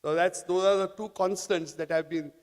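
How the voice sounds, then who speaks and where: A man speaks to a conference audience, his voice pitched a little high. He talks haltingly and often restarts his phrases. His voice carries a long reverb from a large hall. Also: fairly even intonation. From India. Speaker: panel speaker. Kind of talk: conference talk.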